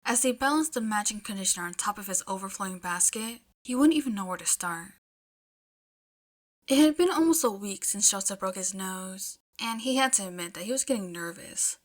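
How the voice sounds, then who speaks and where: A woman reading fiction aloud as an expressive audiobook-style narrator. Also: mid-range voice. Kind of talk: expressive storytelling narration with casual asides.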